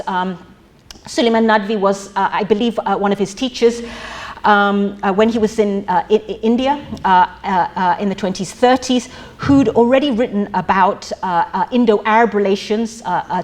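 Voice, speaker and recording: A middle-aged woman gives an academic lecture in an auditorium, her voice at an ordinary middle pitch. She talks haltingly, full of fillers and restarts as she works through her points. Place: museum auditorium.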